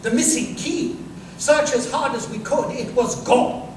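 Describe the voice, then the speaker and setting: An actor playing an incisive, self-assured detective in a live 1930s-style radio drama, speaking precisely and emphatically. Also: male voice, very high voice, unhurried. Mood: analytical. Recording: distant, echoey live stage recording, noisy room.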